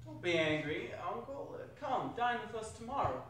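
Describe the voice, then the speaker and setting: A man playing a warm-hearted, earnest nephew with a hearty theatrical manner in a stage reading. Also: high-pitched, very animated, moderate pace. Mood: warm, conciliatory. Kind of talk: dramatic stage reading of a classic story. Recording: distant, very echoey, noisy hall recording.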